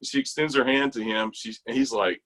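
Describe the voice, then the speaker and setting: A middle-aged American man telling stories casually in conversation, animated and colloquial. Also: medium pitch, a little fast. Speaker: interview guest, retired army chorus bass singer.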